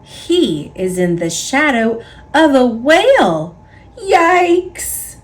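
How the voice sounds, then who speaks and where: Very slow, lively woman reading a children's story. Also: high-pitched. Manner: expressive, deliberate storytelling for young children. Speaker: storybook reader. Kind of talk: children's read-aloud narration.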